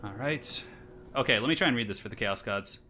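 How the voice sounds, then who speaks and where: A bright, chatty man in his thirties hosts a live game stream. He reads out donations and chats with viewers, then casts a strategy game match. His match intros rise to hyped announcing. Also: fairly low pitch, a little fast, soft background music. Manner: chatty, reads donations aloud, laughs often. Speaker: streamer and tournament caster. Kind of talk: casual live-stream chat and game commentary.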